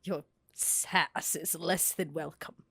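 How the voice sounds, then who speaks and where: A woman plays a haughty character in a tabletop game with a slow, deliberate delivery. Her voice is low-set and husky, recorded dry and close. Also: fairly even intonation, fairly bright tone, no echo. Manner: haughty, measured. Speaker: player. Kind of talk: in-character roleplay.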